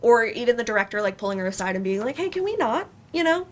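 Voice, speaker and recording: A woman talking casually as a panelist, in a halting and informal way. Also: on the high side, big pitch swings. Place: live panel event in a room. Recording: faint room noise.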